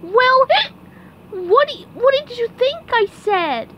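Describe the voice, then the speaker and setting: A woman's voice: very high voice, lively intonation, slow pace, heavily acted. A woman voicing an eager, anxious young male sea sponge in a hobby cartoon dub, with a whiny, childlike, exclaiming manner. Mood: distressed. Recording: muffled, clearly noisy home recording.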